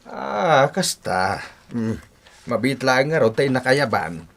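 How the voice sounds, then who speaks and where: A man's voice: mid-range voice, very animated, slow, creaky, a bit bright, heavily acted. An actor playing a nosy, folksy middle-aged father in a Filipino radio drama, with an Ilocano accent. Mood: genial. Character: nosy, prodding father pushing a young man to court. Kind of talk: Philippine radio drama acting.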